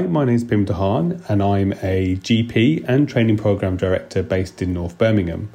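A man giving a prepared book review to listeners as a podcast guest, in a measured, explanatory way. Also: lowish voice, dark tone. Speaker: GP and training programme director, podcast guest.

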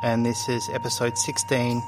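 A man's voice: mid-range voice, completely flat delivery, normal speed, over music, low-quality recording. A man hosting a podcast, reading a friendly introduction and then asking his guest questions. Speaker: podcast host.

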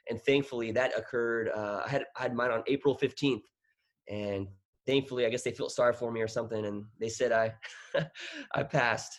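A man's voice: medium pitch, moderate pace. Laughing, easygoing man in his thirties. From Thailand. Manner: laughing, self-deprecating, rambling with restarts. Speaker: guest. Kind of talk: casual interview chat.

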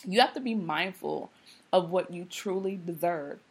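A woman in her thirties hosting a podcast, talking candidly and casually with a slightly hoarse voice. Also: lowish voice, moderate pace, a little mellow. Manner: candid, conversational, many fillers. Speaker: podcast host. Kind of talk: casual monologue.

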